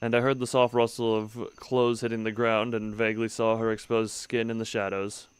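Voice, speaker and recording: A man's voice: on the low side, level tone, normal speed, clear, resonant tone, dry recording. A man streaming a story game, reading the text aloud and adding wry asides, often breaking off mid-sentence and restarting, close to the mic. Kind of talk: let's-play commentary and reading aloud.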